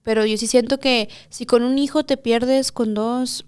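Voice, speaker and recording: A woman's voice: a little high, fairly even intonation, normal speed, smooth. A young woman from Mexico in her twenties, co-hosting a podcast in casual chat and switching between English and Spanish mid-sentence. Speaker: podcast co-host.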